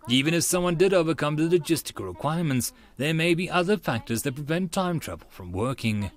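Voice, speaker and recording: A man's voice: mid-range voice, close to an everyday voice. Man voicing a composed young woman genius, precise lecturer. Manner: precise, firm, didactic. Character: composed, brilliant eighteen-year-old woman scientist, sharp lecturer.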